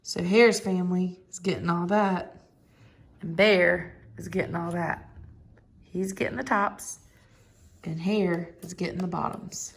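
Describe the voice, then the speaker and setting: A woman's voice: fairly low pitch, fairly even intonation, a little slow, vocal fry, long pauses, slightly rough. A woman narrating a children's storybook aloud, phrasing things simply and guiding young listeners with asides. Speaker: storybook reader.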